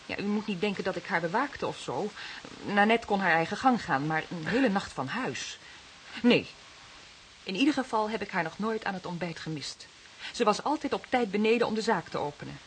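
An actress with a Dutch accent playing an anxious young woman in a radio play, speaking haltingly and repeating her words. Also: medium pitch, animated, a little hiss or hum, natural voice. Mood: hesitant, worried. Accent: Dutch, from the Netherlands. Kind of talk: radio drama acting.

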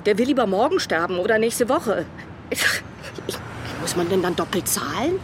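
A woman's voice: mid-range voice, very animated, normal speed, background noise, close to an everyday voice. An actress playing an overwrought, sardonic woman around forty, speaking in blunt, agitated bursts of naturalistic radio drama dialogue. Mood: distraught, pleading. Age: 40s. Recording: loud music behind. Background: music.

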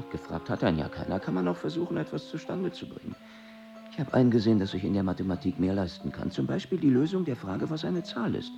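A blunt, self-assured young genius in a radio play. He sounds curt and world-weary, with plenty of vocal fry. The sound is slightly dull, with clear music behind. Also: medium pitch. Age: young adult. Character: blunt, arrogant nineteen-year-old mathematics prodigy, a man. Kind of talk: radio drama acting.

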